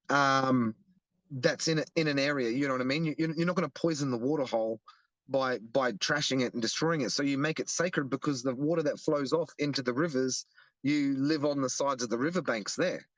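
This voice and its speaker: A man's voice: mid-range voice, moderate pace, fairly bright tone, no room sound, low-quality recording. A young Australian man explaining earnestly in a casual interview, often restarting his sentences. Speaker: guest, activist.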